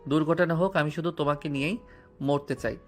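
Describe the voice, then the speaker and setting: A man's voice: fairly high pitch, fairly even intonation, a little fast, lightly acted. A male voice actor playing a playful, devoted young husband in an audio romance drama. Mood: tender. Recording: music bed underneath.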